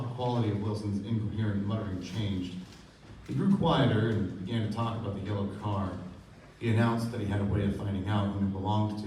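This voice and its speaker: A man's voice: low-pitched, mellow, natural voice. A man playing a reflective, melancholy narrator and loyal friend in a scripted live radio play. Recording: echoey distant stage recording, faint noise.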